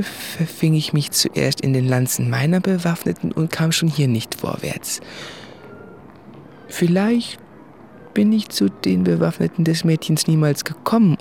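An actor playing an anguished, introspective writer in a literary radio drama, confessing in a halting, brooding way. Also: male voice, a little high, gravelly, darkish tone, a little hiss or hum. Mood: pained, brooding. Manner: slow, halting, brooding, with deliberate pauses.

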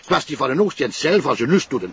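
An actor playing a chatty, nosy neighbour with a Flemish accent, rambling and halting in a television crime drama. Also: male voice, on the high side, sing-song, creaky, fairly bright tone, dull audio, a little hiss or hum, full character voice.

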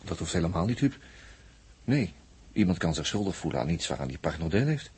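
A tolerant, explanatory man in a 1960s radio drama. He talks quickly and conversationally in a lowish, very husky voice. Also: sing-song, a little mellow, full character voice. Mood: explaining.

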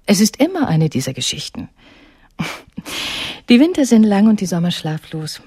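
A woman's voice: mid-range voice, big pitch swings, normal speed, warm tone, breathy edge. An actress playing an enigmatic, middle-aged artist mother with a dry, cryptic way of talking in a radio drama. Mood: wry, cryptic.

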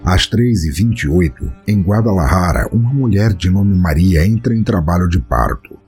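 A Brazilian man narrates a scripted storytelling podcast in a deep, mellow voice. His delivery is theatrical and florid, with widely swinging intonation. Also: expressive, normal speed. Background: music.

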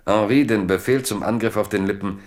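A man narrating a German radio drama as a measured, deliberate storyteller and chronicler. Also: lowish voice, steady tone, slight background noise, close to an everyday voice. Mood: measured, suspenseful. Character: measured chronicler of kings and battles.

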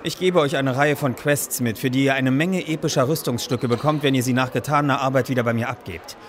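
A man's voice: mid-range voice, fairly even intonation, brisk, slight background noise, close to an everyday voice. A man playing a matter-of-fact marshal handing out quests in a fantasy parody radio play, formal and instructive. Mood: official, calm.

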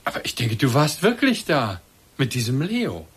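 An actor in a German radio play voicing a suspicious husband who probes and insinuates in an accusing manner. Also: male voice, mid-range voice, huge pitch swings, moderate pace, slightly rough, exaggerated voice. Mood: startled, suspicious. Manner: probing, accusing.

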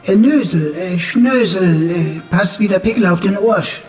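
Insolent computer sidekick, high-pitched, mocking, creaky, long reverb, radio play. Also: male voice, unhurried, darkish tone. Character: cheeky, uncouth talking computer, sidekick of a detective. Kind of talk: radio drama dialogue. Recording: long reverb, light music behind.

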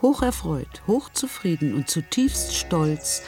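Ein Mann erzählt als literarischer Erzähler eine Geschichte in einem Hörspiel, nach geschriebenem Text. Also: male voice, on the high side, big pitch swings, slow, a little mellow, faint music behind. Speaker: narrator. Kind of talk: literary scripted narration in a radio play.

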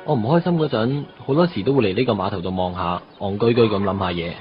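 A man's voice: lowish voice, quick pace, lightly acted. A male actor as a young married Hong Kong salesman, earnest and wistful with a needling edge. He talks like someone telling a story, mixing English into Cantonese, in a slightly muffled radio play with faint music. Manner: conversational storytelling with English words mixed in. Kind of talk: radio drama dialogue.

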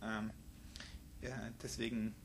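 A man's voice: lowish voice, little pitch movement, slow, a little hiss or hum. A man in his thirties who grew up in Italy, answering interview questions backstage in a hesitant way with many fillers. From Italy, to German parents. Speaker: guest violinist. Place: backstage dressing room.

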